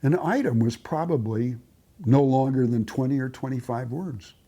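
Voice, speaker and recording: A man telling stories for a documentary in a deliberate way, repeating phrases for emphasis. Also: mid-range voice, mellow, slightly rough. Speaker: documentary storyteller. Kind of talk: documentary storytelling.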